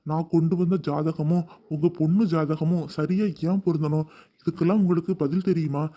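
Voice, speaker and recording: A woman's voice: deep voice, steady tone, brisk, warm tone, pitched below the performer's own voice. Woman doing a preachy old guru. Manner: lecturing, sermon-like. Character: older male guru, preachy and persuasive.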